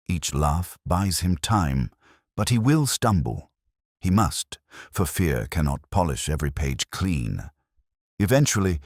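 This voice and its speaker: A man voicing a mild, philosophical police inspector who speaks in measured, cryptic phrases in a detective story. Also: a bass voice, dry recording, studio quality, full character voice.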